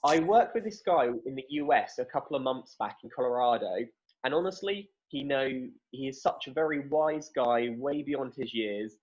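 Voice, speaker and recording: A man's voice: medium pitch, slightly rough. A man casually hosting an online event over a video call, friendly and informal. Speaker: host. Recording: slightly dull lo-fi sound.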